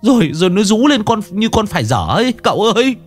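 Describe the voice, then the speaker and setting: A man's voice: sits high, sing-song, fast talker, soft background music, pitched above the performer's own voice. A man doing a frantic young villager. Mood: panicked. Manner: panicked, breathless pleading. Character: frantic young village husband begging for help.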